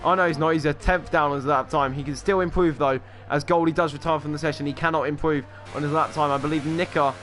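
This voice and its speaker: A man commentating a sim racing qualifying session, calling laps quickly and excitedly, with offhand asides. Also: a little high, soft background music. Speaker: race commentator.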